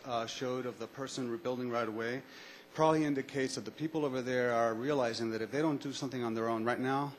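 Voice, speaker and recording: A man in a lecture audience asking a long, earnest question, pausing on fillers as he goes. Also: mid-range voice, a little hiss or hum.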